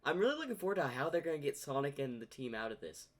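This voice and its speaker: A man hosting a reaction video, giving excited, rambling commentary. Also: a little high, quick pace.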